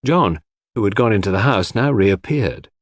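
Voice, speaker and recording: Male audiobook narrator for classic detective fiction: lowish register, very varied intonation, dry studio-like sound. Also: animated, moderate pace, no echo. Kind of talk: scripted dramatic narration of a classic mystery.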